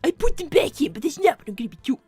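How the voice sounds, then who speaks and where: A woman acts out a tiny cartoon fox boy of five with an extremely high, swooping voice. He is smug and scheming, speaking in pompous, formal phrases, very close and dry. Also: very animated, very fast, mellow, dry recording, soft background music. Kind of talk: improvised character roleplay on a livestream.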